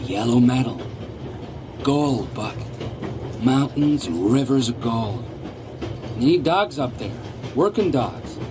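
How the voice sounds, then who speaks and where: An actor playing a sly gardener turned dog thief in a radio drama, coaxing and theatrical, repeating himself. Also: male voice, mid-range voice, noisy background, natural voice. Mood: sly, menacing.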